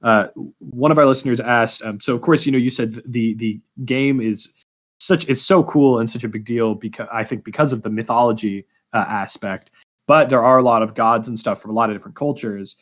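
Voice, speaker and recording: Podcast host: man, mid-range voice, casual and chatty, halting delivery with stumbled words. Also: mid-range voice, a bit bright. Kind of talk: casual interview chat.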